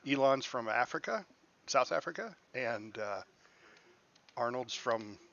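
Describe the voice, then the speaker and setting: Guy streaming a space game, bright forceful voice, jokey and chatty, laughs a lot. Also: medium pitch, vocal fry, muffled recording. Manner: chatty, laughs often, jokey asides to chat. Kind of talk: casual live gameplay commentary.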